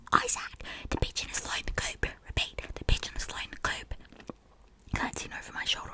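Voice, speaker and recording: A woman reading a teen novel aloud in a whispered, ASMR-like style with a breathy voice. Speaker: reader of a story.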